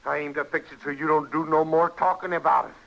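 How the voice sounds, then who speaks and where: An actor playing a defiant, hot-tempered frontier killer in a radio western, with blustering rustic speech. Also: male voice, on the high side, fairly even intonation, quick pace, creaky. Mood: menacing, defiant. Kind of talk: old-time radio western acting. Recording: dull, lo-fi old radio recording.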